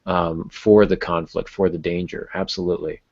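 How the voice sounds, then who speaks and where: Male podcast host, low register, casual conversational delivery, slightly muffled lo-fi audio. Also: little pitch movement, normal speed, a little mellow.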